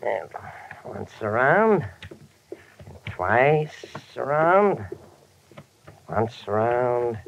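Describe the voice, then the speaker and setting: A man's voice: medium pitch, very animated, drawn-out words, gravelly, pauses often, full character voice. An actor playing a fussy, sadistic henchman in a 1950s radio detective drama, savoring his precise words. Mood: creepy glee. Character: creepy, gleeful henchman, ex lab technician, fussy and sadistic. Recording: noisy, muffled 1950 radio recording.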